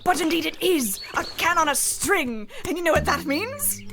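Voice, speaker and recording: A woman's voice: extremely high pitch, sing-song, normal speed, background noise, exaggerated voice. A woman playing a bossy big-game huntress. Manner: imperious, impatient, barking orders. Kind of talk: comedic radio play acting.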